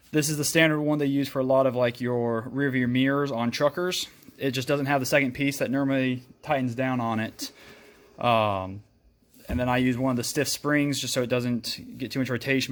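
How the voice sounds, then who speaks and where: A man's voice: mid-range voice, fairly bright tone. A man hosting a casual how-to video, explaining step by step as he demonstrates. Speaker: host of a DIY vehicle video.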